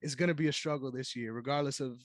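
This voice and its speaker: A man co-hosting a sports podcast, giving measured, analytical takes in casual chat. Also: mid-range voice, fairly even intonation, no room sound, clean studio sound. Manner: measured, analytical, frequent "I think". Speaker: podcast co-host.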